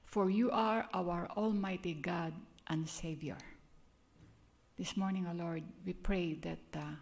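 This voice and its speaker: Older woman, Filipino accent, church sermon, gravelly tone, unhurried delivery. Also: fairly low pitch, fairly even intonation, darkish tone. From Philippines. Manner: earnest, chatty, laughs at herself. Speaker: guest speaker. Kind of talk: sermon with prayer.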